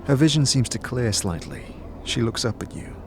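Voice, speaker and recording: A man's voice: mid-range voice, big pitch swings, moderate pace, a little mellow, a little hiss or hum. A man performing dramatic scripted narration for an audio drama. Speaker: narrator and lead actor.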